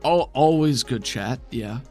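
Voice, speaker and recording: A man's voice: mid-range voice, measured pace, a little mellow, soft background music, natural voice. A man playing a mild, stressed father in his fifties in a tabletop game, hesitant and self-effacing. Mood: nervous, affectionate.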